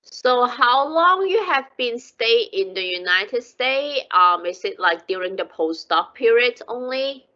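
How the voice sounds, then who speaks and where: A woman's voice: on the high side, expressive, a little slow, few pauses. A woman co-hosting an interview conversationally, with non-native English phrasing. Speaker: seminar co-host. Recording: slightly dull online call.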